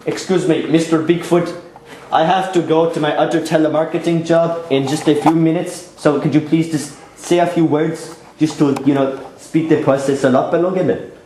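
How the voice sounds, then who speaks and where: Guy doing a chatty indian news reporter, high voice, broken english, super earnest, echoey room. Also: fairly even intonation, moderate pace, mellow, a little hiss or hum, natural voice. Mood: coaxing, curious. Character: naive, chatty Indian TV field reporter moonlighting as telemarketer. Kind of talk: parody news field report. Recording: roomy echo, faint music behind.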